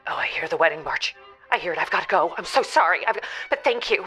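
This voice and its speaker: A woman's voice: lowish voice, lively intonation, quick pace, bright, forceful tone, soft background music. A woman playing an anxious young maid of honor who calls in for advice, rambling and sighing in a fluster. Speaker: caller. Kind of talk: improvised comedy call-in.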